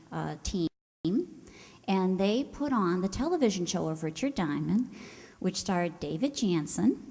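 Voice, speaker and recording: A woman hosting a stage show, giving a prepared introduction in a measured, conversational way. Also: mid-range voice, dark tone. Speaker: host introducing a radio players' stage show. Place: live performance hall before an audience. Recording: reverberant hall, live stage sound.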